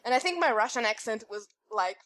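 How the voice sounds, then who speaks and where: A European woman co-hosting a casual podcast, with a voice sitting a touch higher than most women's. She reads listener mail aloud with a playful, joking edge and chats loosely with her co-host. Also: lively intonation, a little fast, fairly bright tone.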